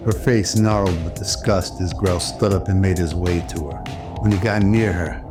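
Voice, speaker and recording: A man's voice: deep voice, moderate pace. A man giving scripted dramatic narration in the style of an old radio drama. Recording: loud music under the voice. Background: background music.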